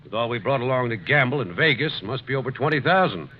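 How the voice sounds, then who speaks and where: A muffled, faintly noisy old radio recording. A pompous lawyer acted by a man blusters in a gruff, indignant voice of middling pitch, in 1950s drama manner. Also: animated, quick pace, close to an everyday voice.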